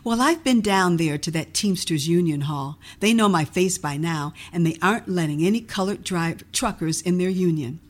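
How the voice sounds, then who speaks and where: A woman's voice: fairly low pitch, normal speed, hardly any pauses, close to an everyday voice. A woman voicing a proud, firm father who runs his own business in a storybook. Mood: matter-of-fact.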